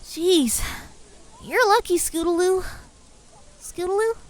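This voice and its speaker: A woman voicing an excitable, blunt young filly pony in an acted cartoon fan fiction, with folksy exclamations. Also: very high voice, takes it slowly. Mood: startled, concerned.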